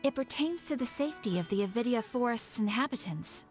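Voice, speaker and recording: A composed woman lays out an urgent request in a measured, earnest tone, voiced by an actress. Her voice sits a little high. Faint game music plays behind her. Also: animated, a little mellow, close to an everyday voice. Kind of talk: video game voice acting.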